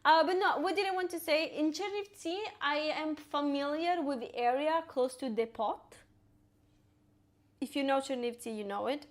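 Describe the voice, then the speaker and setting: A woman's voice: high-pitched, moderate pace. A woman in her twenties from Ukraine, speaking with an Italian accent, chatting casually with live-stream viewers and reading their messages aloud while cooking. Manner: chatty, talks to viewers and reads chat aloud, lots of "like".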